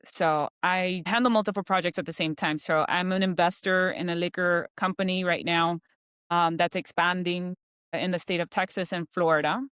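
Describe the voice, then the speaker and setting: A chatty woman guest with a smooth, clear voice, recorded close and dry with no room sound. She talks easily and loosely, peppering her sentences with filler words. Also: fairly low pitch, steady tone, moderate pace, fairly bright tone, no room sound. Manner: chatty, many "you know" fillers. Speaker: guest, insurance professional. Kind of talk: casual interview chat.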